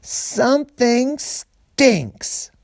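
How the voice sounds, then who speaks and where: A man voicing a mean, popular young schoolgirl in a storybook, taunting in a drawn-out sing-song. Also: very high voice, sing-song, vocal fry, no room sound, studio quality, pitched above the performer's own voice, heavily acted. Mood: mocking. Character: mean, popular thirteen-year-old school girl mocking a classmate.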